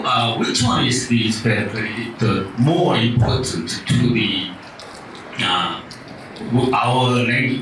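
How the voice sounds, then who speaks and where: A man's voice: mid-range voice, a bit bright, a little hiss or hum. Korean-accented man, hesitant, echoey hall. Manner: groping for words, many fillers. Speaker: conference discussant. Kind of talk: asking a question at a conference. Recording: echoey room, distant mic, music behind.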